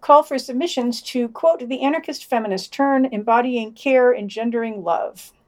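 High-pitched woman reading podcast news. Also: normal speed. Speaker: podcast co-host. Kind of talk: reading news digest with wry asides.

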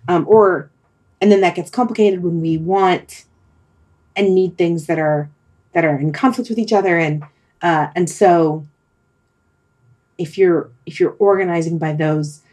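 Woman, lower register, husky. Casual podcast conversation, reflective, works ideas out aloud with emphatic repetition. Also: a little slow, long pauses, a little mellow. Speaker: podcast discussion participant.

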